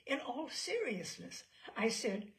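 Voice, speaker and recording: A woman reading literary memoir aloud in a measured, theatrical storytelling manner. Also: on the high side, sing-song, a bit bright. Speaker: reader of literary selections.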